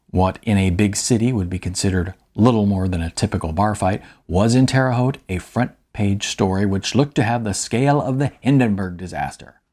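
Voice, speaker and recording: A man's voice: lowish voice, darkish tone. A man narrating his own novella as an audiobook in the first person, with a wry, understated delivery and chatty asides.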